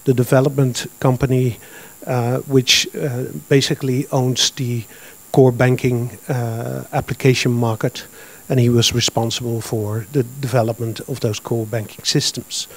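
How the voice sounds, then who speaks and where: A man in his fifties with a Dutch accent, giving a business presentation at a conference through a handheld microphone, talking plainly and filling pauses with uh and um. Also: mid-range voice, little pitch movement. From Netherlands. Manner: plain, matter-of-fact, with frequent uh and um. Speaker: conference speaker. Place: large conference hall with audience.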